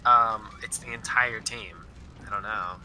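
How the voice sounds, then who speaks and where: A man's voice: on the low side, fairly even intonation, measured pace, a crowd in the background, poor audio. A man chatting casually as he plays along in a video game. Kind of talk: casual gaming chat.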